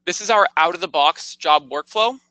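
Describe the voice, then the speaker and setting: A man narrating a product tour as a software demonstrator, explaining things step by step in a conversational, informal way. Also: high-pitched, normal speed, no echo, poor audio.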